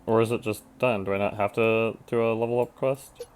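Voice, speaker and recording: A woman's voice: extremely low pitch, steady tone, a little fast, darkish tone. A woman giving offhand, casual live commentary as she plays a video game, muttering questions to herself. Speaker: game streamer. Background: game music.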